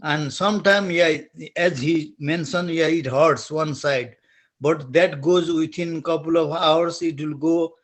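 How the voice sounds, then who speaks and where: This middle-aged man from Nepal talks in a slow, halting way, leaving gaps as he searches for the right word. His voice sits fairly high for a man, and his Nepali accent is strong. He keeps restarting his phrases as he asks a question on a webinar call. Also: steady tone. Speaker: webinar attendee asking a question.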